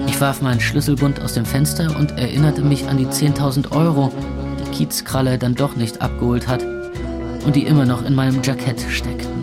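An actor playing a jaded young club owner in a German radio play, also narrating in the first person. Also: male voice, mid-range voice, natural voice. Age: young adult. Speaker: lead character and narrator.

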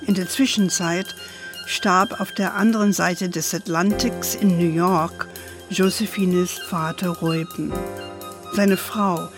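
An actress playing an older grandmother who tells a family story in a measured, dramatic way for a children's radio play. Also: on the low side, steady tone, over music. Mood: solemn narrating.

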